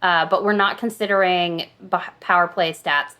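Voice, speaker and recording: A woman's voice: lowish voice, steady tone, moderate pace, pushed and bright. A woman hosting a hockey trivia quiz on a podcast in casual, conversational talk.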